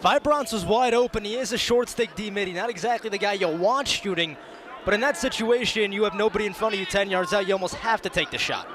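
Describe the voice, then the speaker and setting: A man's voice: sits high, fast, crowd noise. A young man giving emphatic, conversational color commentary during a live sports broadcast. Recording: outdoor broadcast with faint background noise.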